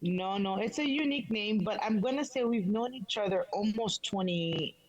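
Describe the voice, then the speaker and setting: A woman's voice: mid-range voice, normal speed, a crowd in the background, low-quality recording. Earnest woman. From Haiti. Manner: earnest, grows emphatic and firm. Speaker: guest. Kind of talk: casual interview talk.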